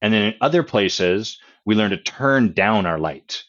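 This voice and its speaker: A man, the author and guest, explaining his concepts in a relaxed podcast chat with examples and analogies, with a loud voice. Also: fairly low pitch, a little slow, muffled recording.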